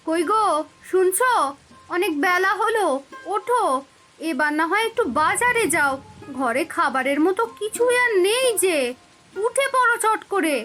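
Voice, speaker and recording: A woman's voice: extremely high pitch, animated, a little slow, pauses often, fairly bright tone, soft background music, full character voice. A woman playing an exasperated, nagging village housewife and mother in a cartoon, scolding and lamenting. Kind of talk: Bengali cartoon voice acting.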